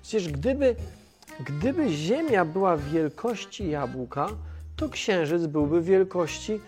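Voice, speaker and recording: A woman's voice: lowish voice, expressive, slow, a little mellow, faint music behind. Woman doing a curious young male mission controller. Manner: curious, easygoing, a bit clueless. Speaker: flight control operator character. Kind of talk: children's educational cartoon dialogue.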